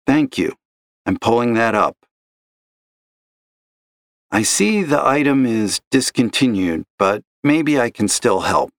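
A man's voice: medium pitch, very animated, takes it slowly, long pauses, no room sound. A man doing a chipper call center agent. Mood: chipper, strained. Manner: polite, chipper and patient. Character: upbeat young male customer service agent. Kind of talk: audio story reading.

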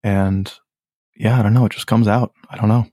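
A man with a low voice, a musician guest talking in a laid-back, rambling way with many fillers. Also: fairly even intonation, moderate pace, warm tone, no room sound, clean studio sound. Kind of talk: casual podcast chat.

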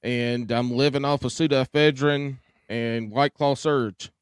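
Male co-host, stuffy and congested, smooth voice, dry close sound, wry jokes. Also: medium pitch, steady tone, measured pace, no room sound. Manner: wry, teasing. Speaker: podcast co-host. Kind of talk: casual chat.

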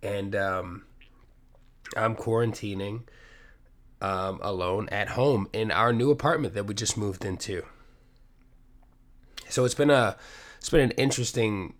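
A man's voice: fairly low pitch, unhurried, pauses often. A man hosting a casual solo podcast from his apartment while sick with a cold, sniffly and rambling, with plenty of swearing. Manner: rambling, profane, self-deprecating. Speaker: podcast host. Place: home apartment.

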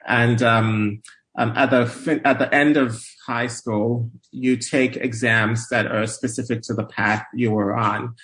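A man answering interview questions conversationally, hesitant and often pausing to find his words. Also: medium pitch, fairly bright tone. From Nigeria. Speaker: interview guest, medical researcher.

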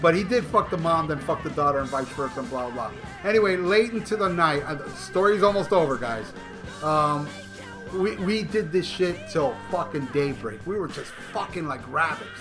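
A middle-aged man hosts a casual podcast, his voice sitting a little higher than most men's. He tells a long, profane story with stumbling restarts. Music plays clearly beneath him throughout. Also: lively intonation, normal speed. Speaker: podcast host. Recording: music playing clearly underneath.

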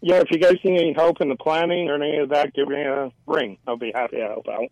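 A man's voice: a little high, fairly even intonation, fast talker, a crowd in the background, rough recording. A man calling in to a live show as a shop owner, easygoing and brief, offering to help. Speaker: caller, comic shop owner. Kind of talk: phone-in chat.

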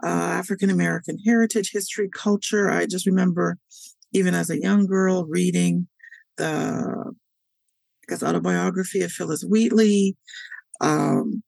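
A middle-aged woman from Canada, a historian, answering interview questions in a measured, thoughtful way with pauses. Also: fairly low pitch, steady tone, vocal fry, a little mellow, dry recording. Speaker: historian, guest.

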